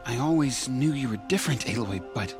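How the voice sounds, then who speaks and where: A man playing an earnest, gentle young tribesman in a video game, sincere and a little hesitant. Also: mid-range voice, expressive, brisk, over music, close to an everyday voice. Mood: reverent, sincere.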